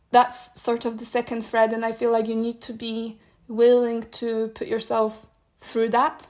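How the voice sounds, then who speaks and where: A young woman whose native language is Polish talks through her thoughts on a solo podcast. Her voice sits a little high but stays fairly level in tone, and she muses in a meandering way, with soft laughs between ideas. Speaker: podcast host. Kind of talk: solo reflective podcast monologue.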